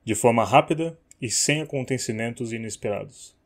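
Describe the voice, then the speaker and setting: A Brazilian man with a mid-pitched voice reads formal narration for a recording. He drops into casual, crude asides between takes. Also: moderate pace. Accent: Brazilian Portuguese. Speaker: guest voice narrator. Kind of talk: scripted narration outtakes with swearing asides.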